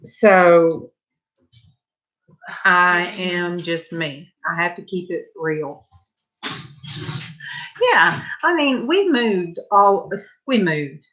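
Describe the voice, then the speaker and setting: A middle-aged woman with a Southern US accent, chatting to her viewers at home in a loose, rambling way. Her voice sits on the low side. The recording sounds dull and lo-fi. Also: lively intonation, very slow, long pauses, a bit bright. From Southern California. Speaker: vlogger. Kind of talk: casual chat while painting. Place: home, indoors.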